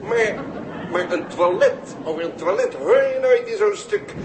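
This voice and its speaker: A plain-spoken male dockworker from Rotterdam in 1960s radio drama. He speaks in blunt, halting bursts, in a roomy space with noise behind him. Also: sits high, animated, crowd noise. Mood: awkward, indignant. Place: hall. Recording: roomy, noisy old hall recording.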